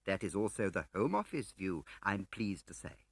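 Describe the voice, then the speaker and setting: A man's voice: low voice, sing-song, moderate pace, fairly bright tone, slightly rough, no echo, exaggerated voice. A man voicing a pompous, ingratiating civil service chief in an audiobook, with a hesitant, upper-class English delivery. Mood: falsely genial. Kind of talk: audiobook character acting.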